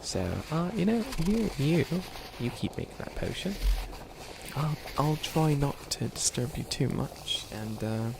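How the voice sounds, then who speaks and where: A man playing a bumbling, kindly wicked witch in an ASMR roleplay, soft-spoken and hesitant, with a lot of stammering and rambling. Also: fairly high pitch, sing-song, measured pace, gravelly, background noise, exaggerated voice. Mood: gentle, reassuring. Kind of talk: ASMR roleplay, improvised comedic monologue.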